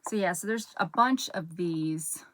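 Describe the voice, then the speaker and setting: Chatty woman with a low, soothing voice. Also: a little slow, noisy background. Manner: rambling, chatty, self-interrupting while sorting items. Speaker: channel host. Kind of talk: casual haul vlog chat.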